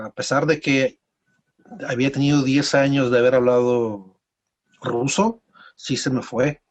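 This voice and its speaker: A man with a Mexican accent and an average-pitched voice tells stories casually. He circles around, stopping and repeating words as he goes. The recording is dull and lo-fi. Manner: rambling, halting with repeated words. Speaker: interview guest. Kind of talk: casual conversational storytelling.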